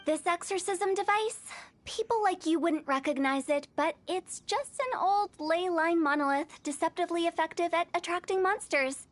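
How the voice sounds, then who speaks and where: A woman's voice: very high voice, moderate pace. A woman playing a playful, mischievous young funeral director in a video game, with theatrical, teasing showmanship. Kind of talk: video game character acting. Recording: music behind the voice.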